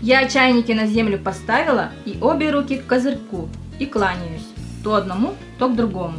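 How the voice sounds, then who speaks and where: A woman's voice: fairly high pitch, animated, brisk, over music, lightly acted. A woman playing a naive young army recruit, simply telling her war story in an amateur audio play. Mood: naive, storytelling. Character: young female army recruit recalling wartime mishaps.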